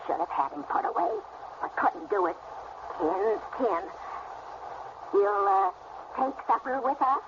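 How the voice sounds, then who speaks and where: Casting: sinister elderly hill-country granny, gravelly and unhurried, radio horror, muffled noisy recording. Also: female voice, sits high, very animated, full character voice. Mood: sly menace. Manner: slow, rustic, drawling menace. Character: folksy, menacing old backwoods granny running cabins. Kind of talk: radio horror play acting.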